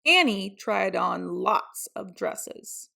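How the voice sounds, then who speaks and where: A woman's voice: medium pitch, animated, drawn-out words, gravelly, pushed and bright. A woman reading a children's picture book aloud as a storyteller. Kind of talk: scripted children's story narration.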